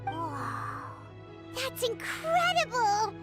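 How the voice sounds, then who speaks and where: A woman voicing a small, excitable, childlike fairy companion in a video game, with a squeaky, wide-eyed, exclamatory delivery. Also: very slow, exaggerated voice. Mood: amazed. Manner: exclamatory, wide-eyed, refers to self in third person. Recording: loud music behind the voice.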